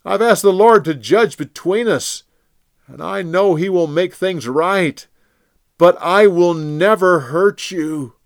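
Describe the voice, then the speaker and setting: A man's voice: high-pitched, takes it slowly, clear, resonant tone, no room sound, clean studio sound, voice pushed up. A man voicing a young male warrior who calls out earnestly and pleads with his king. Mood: pleading.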